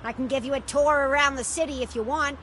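A woman's voice: sits high, fairly even intonation, quick pace, a bit bright, slight background noise. A woman voicing a friendly, confident rabbit taxi driver and tour guide in a children's cartoon game, breezy and reassuring. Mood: upbeat.

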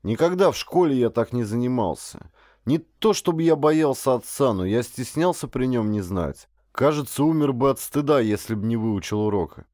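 Male audiobook narrator, bright voice, very dry sound. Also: mid-range voice, expressive, normal speed, dry recording. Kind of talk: scripted first-person literary narration.

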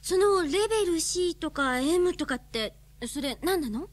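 A woman's voice: extremely high pitch, lively intonation, measured pace. A woman playing an earnest, impulsive young heroine in a radio drama, speaking in a girlish, colloquial way. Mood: curious. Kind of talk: radio drama acting and first-person narration.

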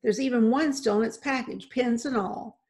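A woman voicing a tiny older housewife who is steady, stern and no-nonsense, in a storybook reading. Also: on the high side, moderate pace, pitched above the performer's own voice, close to an everyday voice. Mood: firm, stern. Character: tiny, steady, firm older custodian's wife.

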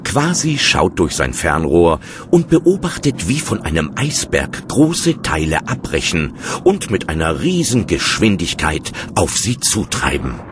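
A man's voice: sits low, wildly swooping pitch, measured pace, full character voice. A man narrating a scripted children's sea adventure as a storyteller. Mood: suspenseful. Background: loud music.

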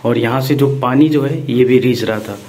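A man's voice: mid-range voice, moderate pace, dark tone. A man casually narrating his own travel vlog, filmed on a handheld phone camera, with frequent hesitation sounds. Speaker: travel vlogger. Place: hotel room and roadside at night. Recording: phone recording with background noise.

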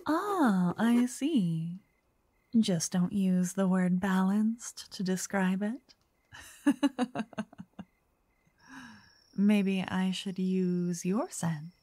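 A woman playing a slow, soft-spoken doting vampire writer. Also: medium pitch, no room sound. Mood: teasing, affectionate. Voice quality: soft, breathy, often whispered. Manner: teasing, dreamy, old-fashioned wording. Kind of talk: soft ASMR roleplay. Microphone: close, dry microphone.

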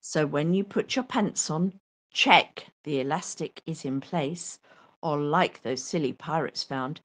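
A woman reading a children's picture book aloud with expressive, theatrical delivery. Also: sits low, measured pace. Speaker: storyteller.

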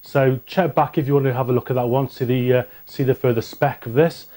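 A man's voice: mid-range voice, steady tone, a little fast, dark tone. A man in his forties with a British accent, giving a casual product review to camera in a home office. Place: small home office indoors.

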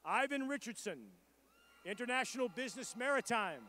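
A man reading out graduates' names and their programs at a commencement ceremony, in a measured and formal roll-call delivery. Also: extremely high pitch, animated, sharp tone, slight background noise. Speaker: commencement name reader.

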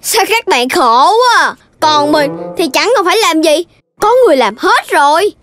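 A woman's voice: very high-pitched, animated, moderate pace, a bit bright. A woman voicing a playful, lazy, whiny little child in a children's cartoon, with exclamatory delivery. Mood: playful, boastful. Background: children's music.

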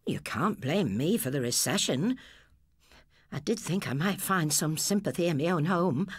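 A woman's voice: low voice, animated, husky, dry recording, clean studio sound. Woman playing a weary English working-class father. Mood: hurt. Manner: slow, plain-spoken. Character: weary, wounded middle-aged working man, a father.

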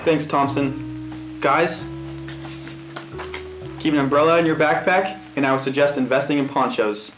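A young man gives the weather in a relaxed, casual way. His voice echoes around the room, and the recording is dull and lo-fi, with faint music underneath. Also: a little high, measured pace, long pauses. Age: young adult. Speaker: weather presenter. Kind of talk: scripted weather report. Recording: roomy lo-fi old tape.

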